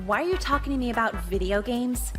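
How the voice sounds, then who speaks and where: A woman voicing a jaded, foul-mouthed teenage high school girl in a visual novel game, deadpan and caustic. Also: quick pace, faint music behind, close to an everyday voice. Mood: scornful. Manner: deadpan, cynical, casually caustic. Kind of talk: visual novel game voice acting, monologue and dialogue.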